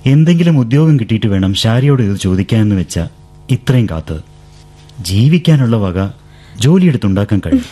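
A gentle, coaxing young suitor. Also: male voice, on the low side, big pitch swings, brisk, slightly rough. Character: earnest young suitor with a new job. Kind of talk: 1970s radio drama acting.

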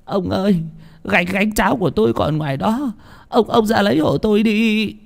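Male storyteller as scared older village wife, high falsetto pitch, stammering, wailing, pleading, horror tale. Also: sing-song, unhurried, creaky, heavily acted. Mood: tearful pleading. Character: frightened, talkative sixty-year-old village woman.

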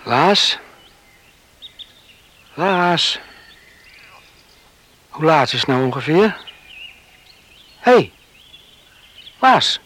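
An actor from a radio play, playing a hot-headed, befuddled young countryman, speaking in agitated, halting exclamations. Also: on the high side, big pitch swings, fairly bright tone, exaggerated voice. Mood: groggy, hungover, dismayed. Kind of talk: radio drama acting. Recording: hissy old radio play recording.

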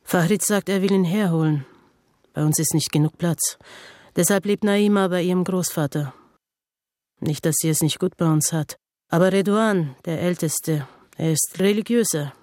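Eine Schauspielerin spielt in einem Hörspiel eine müde, wachsame Mutter mittleren Alters, sachlich und reserviert. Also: female voice, fairly low pitch, normal speed, darkish tone, no room sound, studio quality, close to an everyday voice. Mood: guarded, subdued. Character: guarded, weary Algerian-French mother, middle-aged. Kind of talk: radio drama dialogue.

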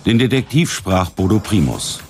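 A man announces the closing credits of a radio drama. His voice is low, and soft music plays faintly behind him. The delivery is scripted. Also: sing-song, moderate pace.

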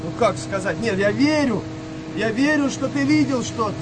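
An actor playing a hesitant, doubting wartime sailor in a radio play, with halting colloquial speech. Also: male voice, very high-pitched, lively intonation, noisy background. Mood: hesitant, doubtful.

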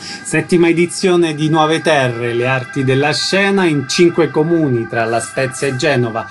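A man's voice: on the high side, normal speed, soft background music. A man hosting a radio show, giving concise announcer-style introductions and asking short questions. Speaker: radio host, interviewer. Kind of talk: radio presenting and interviewing.

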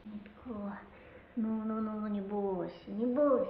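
A woman acting an impulsive, mischievous little boy in a Russian radio play, with excitable, insistent delivery. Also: medium pitch, slow pace, phone-quality sound. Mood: urgent, coaxing.

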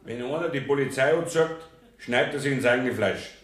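An actor playing a plain-spoken Bavarian master carpenter giving testimony in a radio play, respectful but dryly resigned. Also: male voice, medium pitch, fairly quick, a bit bright, natural voice. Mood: bitter, dry. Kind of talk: German radio play courtroom acting. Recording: roomy 1970s radio drama studio.

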